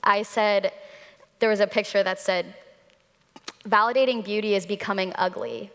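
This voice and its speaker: A young woman speaks casually as a panelist in a discussion. Her pitch is average for a woman, and the room adds a long, echoing reverb. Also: animated, normal speed, a bit bright. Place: large hall, public panel. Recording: echoey hall, amplified panel.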